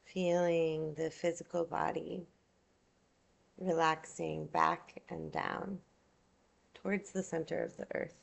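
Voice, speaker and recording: A woman yoga nidra instructor leading a guided meditation in a soothing, unhurried, gentle manner, leaving long pauses. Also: low voice, little pitch movement, vocal fry.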